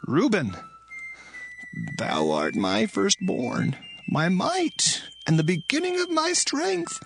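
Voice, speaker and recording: An actor playing a solemn, aged dying patriarch in a dramatized scripture reading, declaiming haltingly in a creaky voice. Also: male voice, sits high, wildly swooping pitch, fairly bright tone, soft background music, heavily acted. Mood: grave. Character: Israel, aged dying biblical patriarch, solemn, blessing his sons.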